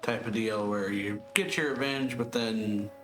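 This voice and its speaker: Male streamer, casual gameplay commentary: unhurried, laid-back, brief reactions over background music. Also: lowish voice, fairly even intonation, rough recording. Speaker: let's play streamer. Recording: game music playing behind.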